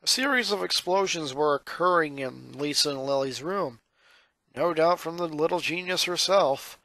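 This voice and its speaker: A man's voice: on the high side, moderate pace, pushed and bright, no room sound, rough recording. A man reading a piece of fan fiction aloud as scripted story narration.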